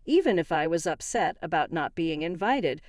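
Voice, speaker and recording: A woman's voice: on the low side, lively intonation, normal speed, a little mellow, no room sound, studio quality, lightly acted. A woman playing a wronged, indignant wife in a narrated story, argumentative and emphatic. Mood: accusing, hurt. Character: wronged, indignant young wife confronting her in-laws. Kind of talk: acted dialogue in a narrated story.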